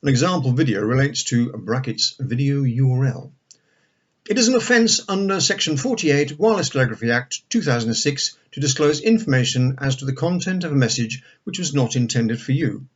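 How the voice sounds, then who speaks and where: A man's voice: medium pitch, lively intonation, a little fast, husky, muffled recording. A man reading a formal official letter aloud. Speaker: reader of an official email.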